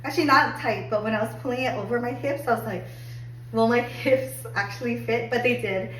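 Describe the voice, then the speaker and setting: A woman getting over a cold and a little hoarse, chatting upbeat through a clothing try-on review with lots of "like"s. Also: fairly high pitch, a little fast, fairly bright tone. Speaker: fashion haul vlogger. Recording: echoey room, distant mic, faint hum.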